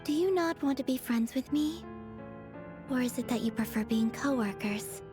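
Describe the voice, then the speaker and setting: A woman playing a serene, innocent, gently odd young maiden in a video game, speaking sweetly and earnestly. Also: high-pitched, moderate pace, music in the background, lightly acted.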